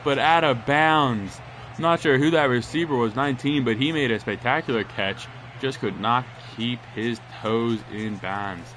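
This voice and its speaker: A man with a lowish, stuffed-up, nasal voice calls a football video game in a loose, rambling way. His voice jumps up on the big plays. There's clear noise underneath the recording. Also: fairly bright tone. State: congested, nasal. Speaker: gaming commentator.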